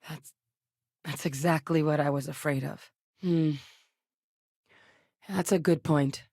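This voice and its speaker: An actress voicing a seasoned, determined female military xenobiologist in a video game, speaking in a measured, earnest, matter-of-fact way. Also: sits low, fairly even intonation, a little mellow, no echo, studio quality, heavily acted. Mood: grave, focused. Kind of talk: scripted game dialogue.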